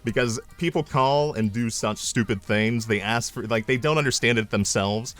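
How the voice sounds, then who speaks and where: A young man chatting casually on his live stream, mocking himself and breaking into loud triumphant yells. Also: mid-range voice, moderate pace, faint music behind.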